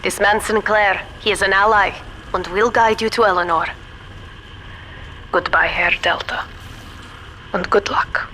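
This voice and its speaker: An actress playing a grave, remorseful older woman scientist with a German accent, speaking solemnly and deliberately in a video game. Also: mid-range voice, steady tone, sharp tone, slight background noise. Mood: regretful. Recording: echoey hall reverb, music behind.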